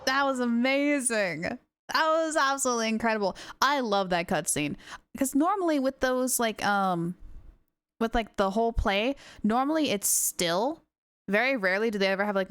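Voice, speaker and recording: A woman livestreaming a game, chatting casually with viewers in an excitable, gushing way. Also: a little high, normal speed, no echo. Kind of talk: casual live stream commentary and chat. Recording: game music behind voice.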